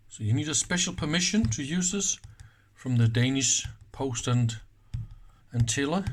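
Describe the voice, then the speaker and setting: A man giving casual hands-on commentary at an indoor workbench, thinking aloud informally with small exclamations. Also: fairly high pitch. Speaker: host of electronics teardown videos.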